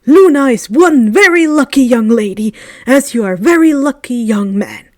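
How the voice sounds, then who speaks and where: A woman's voice: a little high, animated, moderate pace, darkish tone, no echo, clean studio sound, close to an everyday voice. Woman as a whimsical, genteel old wizard. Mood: genial, conspiratorial. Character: kindly, whimsical elderly male wizard headmaster writing a letter.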